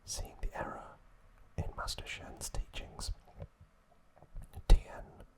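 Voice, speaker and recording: An ASMR host narrating trivia in a soft, unvoiced whisper, sex indistinct. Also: slow.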